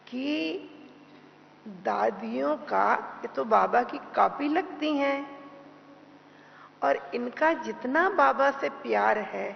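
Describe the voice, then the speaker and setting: Woman, spiritual teacher, heavily gravelly voice, devotional discourse, deliberate repetition, muffled reverberant hall recording with hum and noise. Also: fairly high pitch. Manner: deliberate, with pauses and repeated phrases. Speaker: spiritual teacher giving a class. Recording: dull, noisy, humming hall recording.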